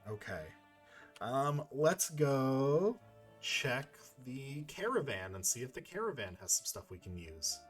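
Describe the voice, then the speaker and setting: A man's voice: medium pitch, very animated, takes it slowly. A man giving casual gameplay commentary, musing aloud to himself as he plays. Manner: musing, talking to himself while playing. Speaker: gamer, let's player. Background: video game music.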